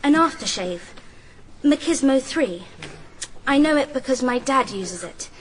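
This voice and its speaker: A woman's voice: sits high, expressive, a little slow. An actress playing a sensible seventeen-year-old schoolgirl witness in a radio drama, composed and matter-of-fact. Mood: composed, earnest. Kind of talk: radio courtroom drama acting. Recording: noticeable background noise and ambience.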